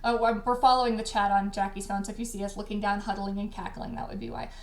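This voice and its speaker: A woman co-hosting a casual livestream chat, speaking in an informal, animated way. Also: mid-range voice, fast talker. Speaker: host, audio drama creator and dialogue editor. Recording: echoey room.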